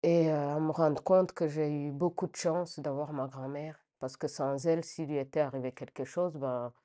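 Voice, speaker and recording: A middle-aged woman from Rwanda giving a spontaneous personal testimony, reflective and halting, often repeating words as she searches for phrasing. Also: low-pitched, steady tone, dark tone.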